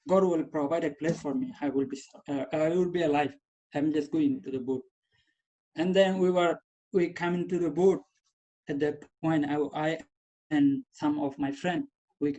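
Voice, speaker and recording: A young man whose first language is not English recounts his past in a steady, flat voice, often stopping to restart phrases. His tone is soft and mellow, somewhat higher than most men. The recording sounds dull and lo-fi. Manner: halting, repeats and restarts phrases. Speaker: graduating student telling his story. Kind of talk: personal testimony.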